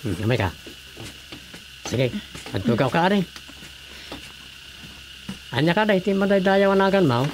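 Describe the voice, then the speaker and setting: A man's voice: high voice, very animated, measured pace, long pauses, poor audio. A man playing a chatty, inquisitive village councillor with a Filipino accent in comedic radio drama dialogue. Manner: questioning, conversational. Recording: faint background noise.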